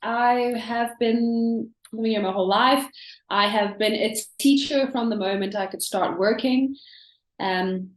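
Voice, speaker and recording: High-voiced chatty South African woman. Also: a little slow, reverberant, low-quality recording. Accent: South African English. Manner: chatty, animated, frequent fillers. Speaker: English teacher, guest. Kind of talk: casual interview chat.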